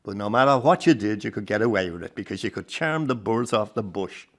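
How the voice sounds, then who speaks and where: A man's voice: fairly low pitch, animated, fairly quick, fairly bright tone. An actor playing an older Irish circus grandfather in a radio drama, plain-spoken and rueful, with a salty turn and a fond heart. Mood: fond, reminiscing. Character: warm, disillusioned old Irish circus grandfather. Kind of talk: naturalistic radio drama acting.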